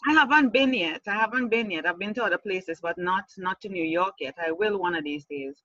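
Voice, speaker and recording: A woman host from the Caribbean chats with a bright, forceful voice, laughing easily and asking curious questions. The sound is dry and a little dull, like a lo-fi mic. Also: medium pitch, a little fast, dry recording. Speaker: podcast host. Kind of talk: casual interview conversation.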